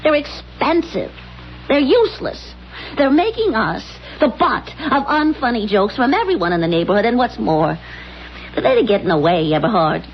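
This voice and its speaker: A woman's voice: fairly high pitch, very animated, normal speed. A bossy, exasperated middle-aged wife in an old radio play. She scolds and nags with emphasis, on a dull recording with faint noise. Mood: stern, exasperated. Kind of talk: 1970s radio comedy-drama acting.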